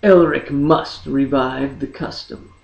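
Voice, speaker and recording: Slow, theatrical woman narrator in an echoey room. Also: very deep voice, steady tone, creaky, darkish tone, dull audio. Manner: theatrical, deliberate phrasing with dramatic pauses. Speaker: audiobook narrator. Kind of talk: dramatic scripted fantasy narration.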